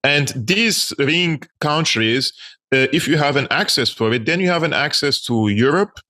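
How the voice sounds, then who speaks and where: Bright-voiced Syrian-born man, emphatic. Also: a little high, lively intonation, a little slow. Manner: fluent, emphatic, with some hesitations. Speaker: geopolitical commentator, guest. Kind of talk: interview answers.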